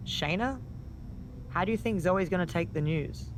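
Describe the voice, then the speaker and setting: A wry, conversational woman wrestler , played by a woman, the loyal sidekick who speaks frankly and teases. It sounds like game cutscene dialogue, with clear noise in the background. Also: lowish voice, normal speed, natural voice. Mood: teasing, curious. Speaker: tag team partner.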